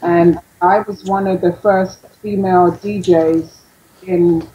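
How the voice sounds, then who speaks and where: A woman's voice: on the low side, fairly even intonation, slow pace, dull audio, a little hiss or hum. A middle-aged woman casually telling her story as a guest on a talk show. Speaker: guest commenter, former sound system DJ.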